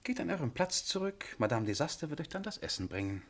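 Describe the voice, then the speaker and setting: Pompous prince with animated, highish voice. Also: male voice, fast talker, heavily acted. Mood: grudging. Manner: formal, declamatory speech to his ministers. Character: pompous, peremptory male sovereign of a tiny principality. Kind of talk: satirical radio drama acting.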